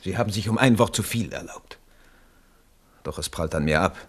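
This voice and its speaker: A man's voice: sits low, moderate pace, a bit bright, breathy edge. An actor playing a blunt, stern royal minister in a radio drama, speaking formally and firmly as he admonishes. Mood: offended, stiff.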